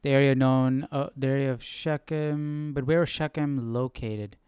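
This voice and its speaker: A man hosting a Bible study, casually thinking aloud as he reads, haltingly asking himself questions. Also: mid-range voice, steady tone, a little mellow, smooth, dry recording, studio quality. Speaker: host of a live Bible study.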